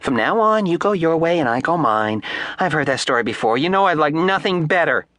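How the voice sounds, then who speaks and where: A man's voice: very high-pitched, very animated, quick pace, bright, forceful tone, no echo, studio quality, voice pushed up, exaggerated voice. A man voicing a scornful spoiled young flapper. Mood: ironic. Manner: petulant, scornful. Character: spoiled defiant young woman, sharp-tongued flapper.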